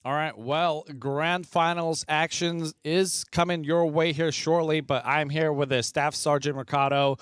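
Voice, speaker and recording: A man hosts interviews at an esports event, upbeat and quick to affirm his guest. His voice is smooth and clear. The sound is dry and close. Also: a little high, steady tone, fairly bright tone, no echo. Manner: upbeat, affirming with short replies. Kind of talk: livestream interview hosting.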